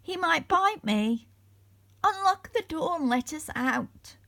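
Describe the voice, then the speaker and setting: A woman's voice: very high-pitched, expressive, slow, gravelly, sharp tone, no echo, voice pushed up, exaggerated voice. A woman voicing a timid, wide-eyed young girl in a children's storybook, with childlike expression. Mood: scared.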